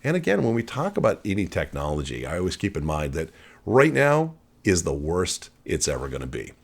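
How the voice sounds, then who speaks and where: A man presenting a casual, conversational software walkthrough, explaining as he goes. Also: fairly low pitch. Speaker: tech tutorial presenter. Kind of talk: casual screen-share walkthrough.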